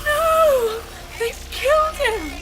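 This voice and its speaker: A woman's voice: very high-pitched, very slow, over loud music, full character voice. A woman playing a plucky, frightened young adventurer, breathless and alarmed, in an audio drama.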